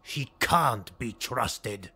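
A man narrating an audiobook, voicing a ruthless, driven male dark elf lord in an urgent, commanding, clipped way. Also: medium pitch, animated, very slow, breathy edge, heavily acted. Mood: cold.

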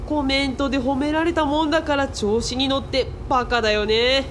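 Woman as a high-voiced, chatty young girl. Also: steady tone, a little fast, noisy background, close to an everyday voice. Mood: gossipy, amused. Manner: casual, gossipy. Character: bright, chatty young girl, casually cheeky. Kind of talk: radio drama dialogue.

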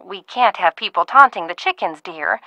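An actress playing a fussy older aunt who runs a ranch in a video game, genteel and stern, often calling people dear. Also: female voice, medium pitch, expressive, normal speed, pushed and bright, no room sound, low-quality recording. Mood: prim scolding. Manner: prim, genteel scolding with frequent "dear".

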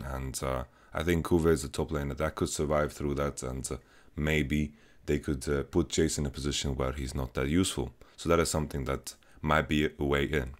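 Young male esports analyst, very low voice, slightly hoarse, measured, lots of ums, headset mic in a small bedroom. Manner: measured, many filler words. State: voice getting hoarse. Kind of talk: solo match preview to camera.

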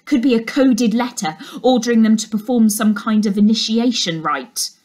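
A woman's voice: a little high, normal speed, a little mellow, natural voice. A woman voicing a blunt, argumentative male dog walker in a storybook, emphatic and dismissive.

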